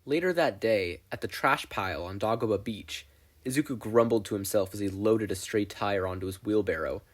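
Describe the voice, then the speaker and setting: A man reading fiction aloud as a narrator, with an expressive, scripted storytelling delivery. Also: fairly low pitch, normal speed. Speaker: audiobook narrator.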